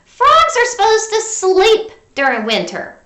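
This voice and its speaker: A woman's voice: very high voice, huge pitch swings, measured pace, pushed and bright, pitched above the performer's own voice, full character voice. A woman voicing a firm, instructive mother frog in a children's storybook, calling out and explaining. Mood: firm, scolding. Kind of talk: children's storybook read-aloud character. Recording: roomy home recording with echo.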